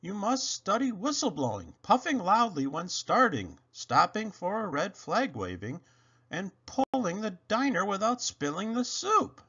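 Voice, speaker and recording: Man putting on an emphatic, high-swooping old schoolmaster. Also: very animated, a little slow, bright, forceful tone, slightly rough, highs cut off, heavily acted. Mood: instructive. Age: older. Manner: emphatic, instructive. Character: old male engineer schoolmaster, encouraging but firm. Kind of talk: children's storybook character reading.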